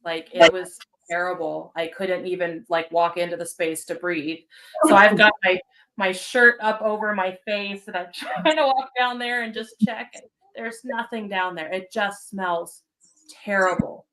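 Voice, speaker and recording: A woman, a paranormal investigator, recounting an eerie experience in a relaxed way with many ums. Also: mid-range voice, a little slow, rough recording. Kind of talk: storytelling in casual chat.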